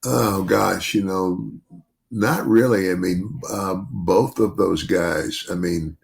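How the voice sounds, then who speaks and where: An older man with a deep bass voice, chatting casually as a podcast host, rambling with many restarts and "you know". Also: slow, gravelly. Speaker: podcast host, retired wrestler.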